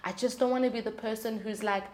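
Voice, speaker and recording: A woman's voice: a little high, fairly even intonation, fast talker, darkish tone. A woman talking candidly and earnestly as a guest in a conversational interview. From South Africa. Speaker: singer-songwriter, interview guest.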